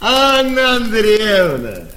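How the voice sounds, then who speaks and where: An obsequious, formal official in a vintage radio play. He speaks very slowly in a high, bright voice on a faintly noisy old recording. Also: huge pitch swings, exaggerated voice. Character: formal, unctuous official offering congratulations. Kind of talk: theatrical 1940s radio acting.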